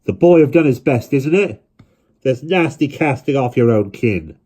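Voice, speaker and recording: A man's voice: mid-range voice, expressive, moderate pace, mellow, breathy edge, pitched above the performer's own voice. A man voicing a blunt, outspoken village postman with a Welsh accent. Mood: indignant.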